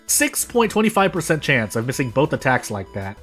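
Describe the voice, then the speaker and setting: A man's voice: mid-range voice, sing-song, a little fast, a bit bright. An American man giving casual live commentary as a game streamer, rambling and often correcting himself as he goes. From United States. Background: music.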